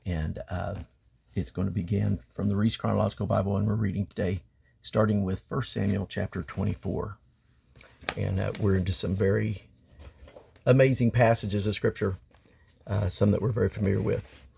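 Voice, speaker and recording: A man's voice: lowish voice, flat delivery, normal speed, gravelly, dark tone, slightly rough. A man reading the Bible aloud dramatically, with emphatic delivery of archaic phrasing. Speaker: scripture reader.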